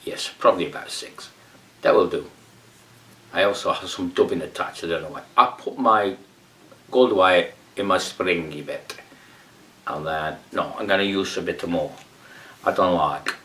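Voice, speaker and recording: A man's voice: low voice, normal speed, long pauses, bright, forceful tone, distant mic. A man playing a rambling Brazilian amateur fly tier in a comic tutorial, with a put-on Brazilian accent, broken English and muttered whispered asides. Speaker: fly tying demonstrator.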